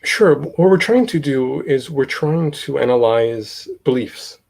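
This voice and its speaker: A young man from Italy, talking casually as an interview guest, halting with many fillers and restarts. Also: medium pitch, expressive, vocal fry, darkish tone. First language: Italian. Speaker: guest, podcast host.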